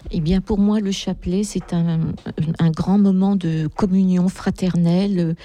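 A woman from a prayer group answering interview questions in a measured, hesitant way. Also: on the low side, fairly even intonation, mellow, dry recording.

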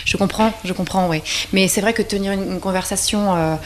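A woman in her forties from Brittany, a singer being interviewed, talking casually with frequent pauses and restarts. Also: mid-range voice, fairly even intonation, slight background noise. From Brittany, France. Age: 40s. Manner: hesitant, many pauses and restarts.

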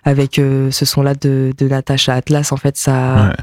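A man's voice: mid-range voice, monotone, normal speed, darkish tone, no room sound, studio quality. A man whose first language is Japanese, from near Nantes, talking as a musician guest in a casual interview, hesitant, with many fillers and restarts. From France, near Nantes.

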